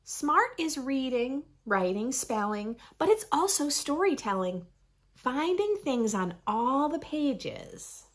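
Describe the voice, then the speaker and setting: Animated, slow-paced woman reading to children. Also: high voice. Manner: animated, deliberate phrasing with playful emphasis. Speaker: host of a children's read-aloud channel. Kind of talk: expressive picture-book read-aloud for young children. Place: small furnished room indoors.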